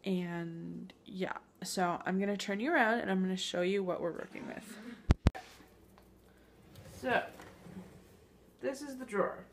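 A woman's voice: mid-range voice, a little slow, long pauses, background noise. A woman in her thirties chatting casually in a home kitchen, recorded on a handheld phone, full of ums and small laughs. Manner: chatty, upbeat, filler-heavy. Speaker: vlogger. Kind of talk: casual clean-with-me vlog. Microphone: handheld phone camera.

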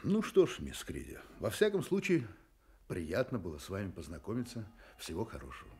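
Low, husky private detective. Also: male voice, huge pitch swings, dark tone, exaggerated voice. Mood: earnest, then resigned. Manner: courteous, pleading, halting phrases. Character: polite, insistent male private detective, hesitant and wry. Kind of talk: Soviet-style radio play acting.

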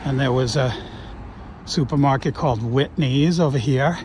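A middle-aged man from Long Island, New York, reminiscing casually and slowly about his old neighborhood on a walking tour, recorded outdoors on a phone he carries. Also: mid-range voice, a little mellow. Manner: slow, rambling reminiscing with fillers. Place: outdoors on a suburban street. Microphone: phone camera held while walking. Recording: outdoor traffic noise.

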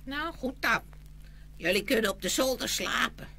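A woman plays a sly old peasant crone in a fairy-tale audio play. The voice is high, sharp and whining, over a faint hum. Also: expressive, moderate pace, vocal fry, full character voice. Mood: wary. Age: older. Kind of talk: dramatised fairy tale acting.

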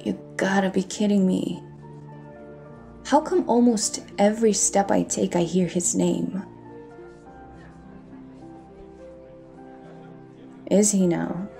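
A woman's voice: mid-range voice, measured pace, creaky, warm tone, faint music behind. A woman playing a bold, teasing pirate captain in an audio roleplay, speaking in a cocky, flirtatious manner. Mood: defiant. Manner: cocky, flirtatious, addressing listener with pet names.

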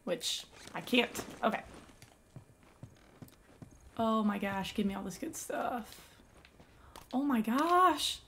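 Young woman streamer, gravelly raspy voice, excitable running commentary. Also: fairly high pitch, takes it slowly, long pauses, a little hiss or hum. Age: young adult. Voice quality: raspy from yelling. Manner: excitable, exclaiming at finds. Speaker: gaming YouTuber. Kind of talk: let's play commentary.

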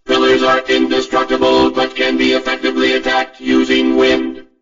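Blunt combat robot from a video game, crackly robotic filter, clipped lines, loud music behind. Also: male voice, very high voice, normal speed, vocal fry, roomy sound, highs cut off. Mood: mechanical, matter-of-fact. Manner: clipped, flat machine phrasing. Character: blunt, relentless heavy combat robot out to destroy. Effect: robotic voice processing. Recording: band-limited game clip over loud music.

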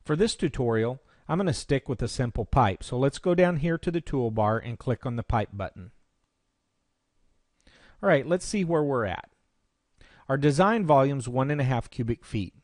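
A man presenting a software tutorial, explaining step by step in instructional screencast narration. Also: mid-range voice, expressive, normal speed, no room sound, studio quality.

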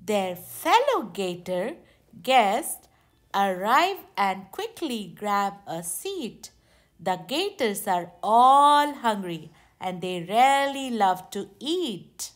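A woman narrating a children's picture book aloud in a deliberate, expressive way. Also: medium pitch. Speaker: children's storybook reader.

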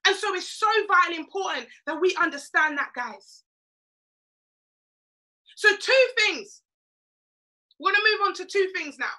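A woman's voice: extremely high pitch, animated, normal speed, pauses often, bright, forceful tone. A middle-aged Jamaican woman from Jamaica giving an emphatic, preachy motivational talk on a webcam video call, repeating lines for effect. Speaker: mentor.

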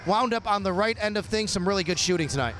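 A man reporting courtside at a basketball arena, interviewing after a game with a handheld mic in a brisk broadcaster delivery. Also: high-pitched, expressive, fairly bright tone, slight background noise. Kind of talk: post-game sports interview. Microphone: handheld stick mic.

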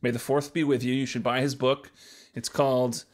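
Male podcast host, average pitch, informal and conversational delivery. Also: level tone, moderate pace. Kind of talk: casual podcast chat.

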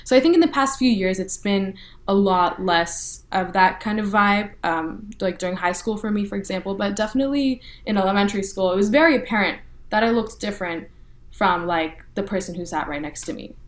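A young woman from North Texas, speaking as an interview guest in a relaxed way with lots of "you know" and "like" fillers. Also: mid-range voice, fairly quick. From North Texas, USA. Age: young adult. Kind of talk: casual interview talk.

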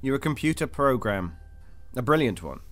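An actor playing a cold, detached interrogator in an audio drama, formal, controlled and insistent. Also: male voice, mid-range voice, big pitch swings, normal speed, natural voice. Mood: clinical, explaining. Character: cold, clinical male interrogator of a captive. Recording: music under the voice.

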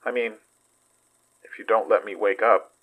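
Weary investigator , male actor, horror podcast drama. Deepish, husky, halting delivery, haunted by what he recounts. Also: fairly even intonation, narrow, tinny audio, close to an everyday voice. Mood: subdued. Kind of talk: horror audio drama acting.

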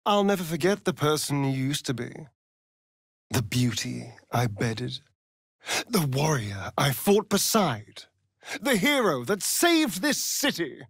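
A man's voice: fairly high pitch, sing-song, slow, a bit bright, slightly rough, no room sound, clean studio sound, exaggerated voice. A man with a British accent playing a vain, sardonic immortal vampire rogue in a fantasy video game, theatrical and arch. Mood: tender, wistful. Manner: arch, drawling, flamboyant.

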